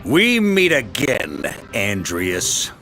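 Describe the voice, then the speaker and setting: An actor playing an easygoing, confident grandmaster of knights in a video game, a seasoned warrior. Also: male voice, lowish voice, huge pitch swings, drawn-out words, pushed and bright, exaggerated voice. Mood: solemn, challenging. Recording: music behind the voice. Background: game music.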